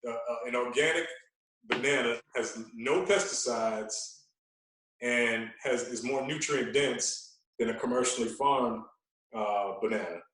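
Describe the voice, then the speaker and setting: A man's voice: medium pitch, a little slow, fairly bright tone, far from the mic. A man talking as a guest fitness coach on a podcast, explaining at length with emphatic rhetorical check-ins. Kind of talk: podcast conversation. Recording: very echoey room.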